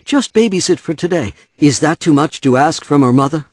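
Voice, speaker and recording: A man's voice: on the high side, lively intonation, fairly quick, darkish tone. A man playing a harried, defensive divorced father in an audio drama, weary and impatient. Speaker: voice actor.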